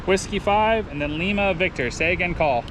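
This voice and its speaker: Male amateur radio operator, American, fairly high pitch, procedural phonetic call-outs, outdoor setting with clear background noise. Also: moderate pace. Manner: spells in phonetic alphabet, procedural radio phrasing. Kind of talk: ham radio calling, repeated call signs and signal reports. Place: outdoors in a park.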